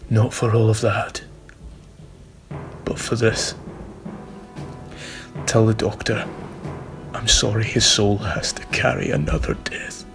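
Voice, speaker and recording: A man playing a weary, remorseful renegade time traveller, halting and solemn, in a fan audio drama. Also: on the low side, level tone, gravelly, music in the background.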